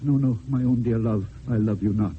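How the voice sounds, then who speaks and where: An actor playing a proud, lovelorn, dying poet-swordsman in an old-time radio play, with theatrical, declamatory delivery and drawn-out poetic phrases. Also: mid-range voice, slight background noise, close to an everyday voice. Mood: anguished denial. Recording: dull lo-fi old radio, music.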